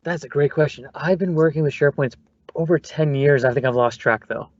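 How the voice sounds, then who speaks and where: A man's voice: a little high, brisk, no room sound, muffled recording. A man presenting as a guest consultant, talking casually from a small soundproof phone booth. He is good-humored and laughs easily. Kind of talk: casual conversation.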